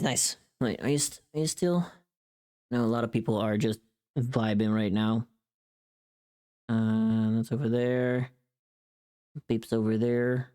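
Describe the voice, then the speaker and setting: A woman with a very deep voice chats casually on a livestream while she works. Her talk is halting and informal, with little murmurs to herself between remarks. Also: a little mellow.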